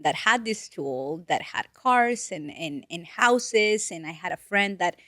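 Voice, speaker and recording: A woman's voice: on the low side, slow pace, dry recording, studio quality. Earnest woman from Peru, Spanish native. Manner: earnest, animated storytelling with restarts. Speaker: financial advisor guest. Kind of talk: casual interview talk.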